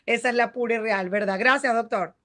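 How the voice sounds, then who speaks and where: A woman's voice: on the high side, fairly quick, fairly bright tone. A woman hosting a news program, talking with a guest and giving viewers practical advice in a conversational tone. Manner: conversational, advisory, addresses viewers directly. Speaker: news show host. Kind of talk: news interview and advice segment.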